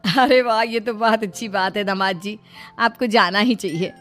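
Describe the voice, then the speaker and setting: A woman's voice: mid-range voice, moderate pace, close to an everyday voice. Woman doing shrewd middle aged mother-in-law in a moral story, kinda plaintive, music under it. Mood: pleased. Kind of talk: acted moral-story dialogue.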